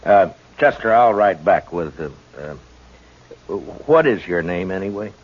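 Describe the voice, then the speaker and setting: An actor playing a steady, firm frontier marshal in a 1950s radio drama, talking in a measured, hesitant drawl full of uhs. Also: male voice, sits low. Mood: calm. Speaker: lead actor and narrator. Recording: dull old radio broadcast with hiss.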